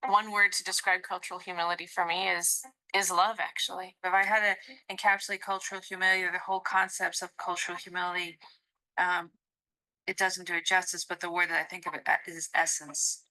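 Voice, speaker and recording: Woman, video interviewee, reflective, flat intonation, bright tone. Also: fairly low pitch, a little fast, rough recording.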